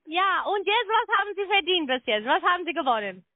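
Very high-pitched woman on a tinny phone. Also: very animated, fairly quick, dry recording. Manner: confrontational, non-native phrasing. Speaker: call centre agent. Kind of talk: argumentative phone conversation. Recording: narrow tinny phone line.

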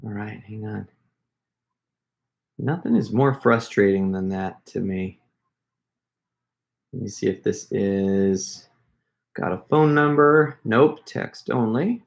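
A man in his forties with an American accent, chatting casually to a camera in a halting, half-muttering way. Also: fairly low pitch, creaky. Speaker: prank caller and video host. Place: home room with wood shelves, indoors. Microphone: desk camera at arm's length.

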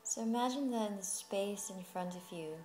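A woman leading a guided meditation as a yoga teacher, speaking in a soothing, unhurried way with long pauses. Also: mid-range voice, darkish tone. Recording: music playing behind the voice. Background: music.

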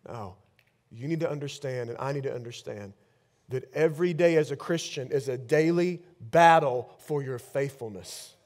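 A man in his thirties preaching a sermon on a large church stage with a headset mic, swinging between loud bursts and hushed lines. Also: mid-range voice, normal speed. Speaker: pastor.

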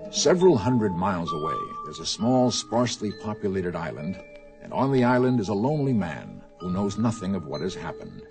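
A man narrating a radio drama in a dramatic, scripted style. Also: low voice.

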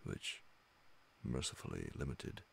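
A middle-aged man reading a horror story in a hushed, measured ASMR audiobook style, pausing often, close to a studio microphone. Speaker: narrator reading classic horror stories. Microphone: large studio condenser microphone close beside him, headphones on.

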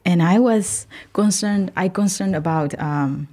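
Low-voiced woman from Myanmar. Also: measured pace. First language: not English. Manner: thoughtful, soft laughs between phrases. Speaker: guest, PhD student. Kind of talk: conversational interview answers. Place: indoor podcast recording.

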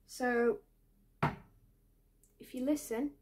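A woman in her twenties plays a doctor in a soft-spoken ASMR roleplay. Her voice sits a bit high and she talks very slowly, with hesitant pauses and a reassuring way of explaining things. She is close to the mic in a small indoor room. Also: fairly even intonation. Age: 20s. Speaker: ASMR creator playing an ear doctor.

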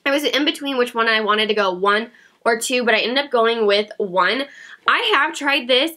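A woman's voice: a little high, moderate pace, sharp tone. A woman in her thirties chatting to camera about beauty products, a bit sick and nasal, giggly and self-mocking, at a desk in her home beauty room. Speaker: beauty vlogger. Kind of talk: casual haul chat to camera.

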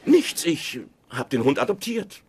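An actor playing an easygoing, boyish husband eager to be liked in a dubbed sitcom, with a pleading, playful manner. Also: male voice, on the high side, sing-song, unhurried, darkish tone. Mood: cheerful, defensive.

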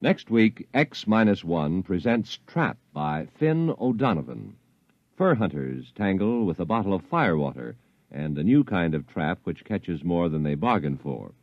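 A man's voice: low voice, very animated, measured pace, no room sound. A man reading a scripted preview as a 1950s radio announcer. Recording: muffled old radio recording.

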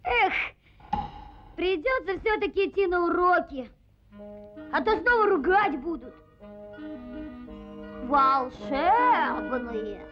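Excitable young schoolboy, very high and animated, naive and dramatic, old cartoon sound with music behind. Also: female voice, muffled recording, heavily acted. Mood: sulky, doubtful. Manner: exclaiming, dramatic, drawn-out.

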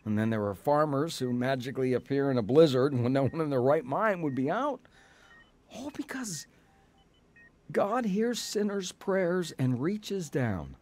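A man playing a contrite older brother, earnest and gently wry, in a radio drama. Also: fairly high pitch, big pitch swings, unhurried. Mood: grateful, wry. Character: contrite older brother, newly grateful and sincere.

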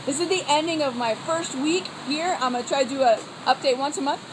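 A woman's voice: sits high, a little fast. An American woman chatting enthusiastically to camera in a casual tour vlog. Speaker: vlogger, theology student. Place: walking around college grounds, indoors and outdoors. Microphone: phone at arm's length. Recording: faint noise, handheld phone.

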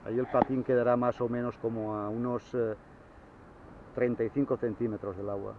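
A man explaining kayak sailing in a relaxed, casual way, with fillers, speaking from a kayak at sea into an action camera. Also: mid-range voice, normal speed. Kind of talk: casual how-to explanation on the water. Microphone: action camera mounted on the kayak. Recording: dull lo-fi camera audio, faint wind.

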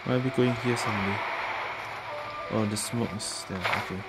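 A woman's voice: very deep voice, fairly even intonation, moderate pace, low-quality recording. A woman making brief, casual reactions while playing a video game. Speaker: gamer commenting on a playthrough. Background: loud game music.

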